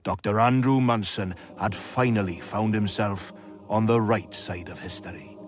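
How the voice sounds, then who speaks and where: An actor playing a wry, worldly narrator in a radio drama, with a husky voice and sardonic, rhetorical delivery. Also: male voice, lowish voice, moderate pace, over music, natural voice. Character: wry, worldly, philosophising male storyteller.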